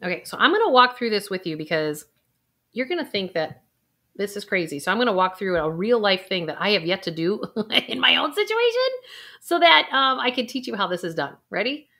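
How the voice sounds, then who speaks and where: A woman at an ordinary middle pitch talks straight to the camera, leading a step-by-step breathing and intuition exercise. Her delivery is calm and patient, broken by sighs and small laughs. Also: a little fast. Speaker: intuition coach. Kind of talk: guided exercise for viewers. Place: indoors, recording a video.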